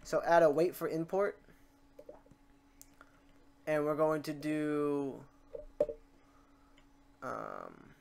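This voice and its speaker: A casual host records a software tutorial in a mid-range voice. The talk is informal, with muttered asides and self-corrections along the way. Faint noise, typing and mouse clicks sit behind the voice. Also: medium pitch, extremely slow, vocal fry, pauses often. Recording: noisy room, keyboard typing and clicks. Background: keyboard typing, mouse clicks, background noise.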